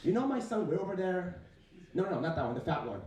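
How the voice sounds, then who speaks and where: A man's voice: high-pitched, big pitch swings, fast, heavy reverb, distant mic, a crowd in the background. A young man voicing his nagging, bragging Chinese immigrant mother with a Chinese accent and broken, sing-song English. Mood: scolding.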